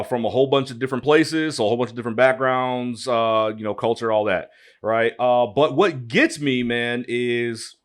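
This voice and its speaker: Rambling man co-hosting a casual chat. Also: medium pitch. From Detroit, USA. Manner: rambling, many restarts and fillers. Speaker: podcast co-host.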